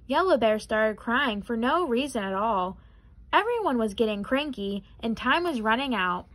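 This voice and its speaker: A young adult woman reading a children's picture book aloud in an expressive, careful way. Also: fairly high pitch, moderate pace, a little hiss or hum. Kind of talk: children's storybook read-aloud.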